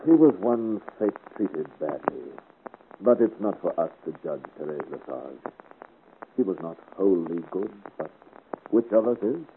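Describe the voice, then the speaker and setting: Low-voiced, noble musketeer hero. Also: male voice, big pitch swings, a little slow, heavily acted. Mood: somber, regretful. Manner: earnest, formal. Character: noble, grave, principled young musketeer chevalier hero. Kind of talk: 1950s radio adventure serial acting. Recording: noisy, tinny old radio recording.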